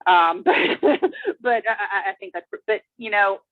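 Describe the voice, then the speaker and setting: A woman's voice: mid-range voice, measured pace, no echo. A woman in her thirties chatting casually on a video call, rambling with lots of fillers and restarts. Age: 30s. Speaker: viewer joining a watch-along chat. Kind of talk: casual chat. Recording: tinny phone-like dry line.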